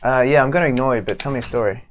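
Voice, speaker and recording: A man's voice: medium pitch, racing. A man in casual gaming banter as co-host, talking in a jokey, distracted way. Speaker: gamer co-hosting a let's play. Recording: background noise with controller clicks.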